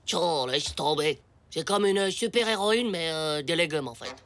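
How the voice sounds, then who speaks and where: A woman playing an excitable, dramatic young schoolboy in a French animated comedy, whiny and exaggerated. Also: low voice, a little slow. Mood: dismissive. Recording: loud music behind.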